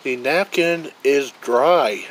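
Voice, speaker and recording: Man, mid-pitched, casual rambling reviewer, outdoor phone recording with faint background noise. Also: takes it slowly, rough recording. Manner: rambling, plainspoken, thinks aloud. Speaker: gear reviewer. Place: outdoors on a wooden deck.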